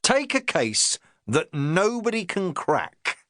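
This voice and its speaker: A self-important police inspector lectures his sergeant in pointed, hectoring phrases, voiced by a male narrator. He talks slowly, his voice swooping up and down as he hammers each point home. The reading is close and dry, with no room sound. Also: sits high, wildly swooping pitch, bright, forceful tone, no room sound, voice pushed up, heavily acted. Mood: lecturing. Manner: pompous, hectoring, jabbing phrases. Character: pompous lecturing police inspector, middle-aged man. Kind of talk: audiobook character reading.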